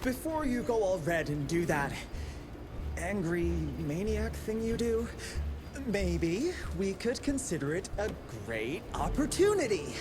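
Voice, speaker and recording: Smug, high-pitched nerd inventor. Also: male voice, big pitch swings, a little slow. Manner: cocky, wordy, self-congratulating. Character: smug nerdy male inventor, young adult. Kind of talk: video game cutscene acting. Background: music score.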